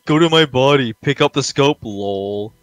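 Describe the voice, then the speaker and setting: A man's voice: mid-range voice, expressive, measured pace, no echo. A man teasing and joking with his teammate while spectating a game, laughing often. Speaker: gamer teammate. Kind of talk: casual gameplay chat. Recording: dry close sound with game music behind.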